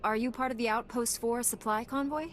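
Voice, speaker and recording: An actress playing a noble, resolute knight in a video game, speaking formally and solemnly. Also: female voice, on the high side, steady tone, brisk, faint music behind, close to an everyday voice. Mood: grave, probing.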